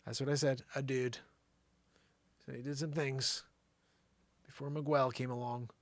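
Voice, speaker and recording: A man's voice: medium pitch, little pitch movement, unhurried, slight background noise. A man hosting a live show with casual, slangy, rambling comedic commentary, often thinking aloud. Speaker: live variety show host.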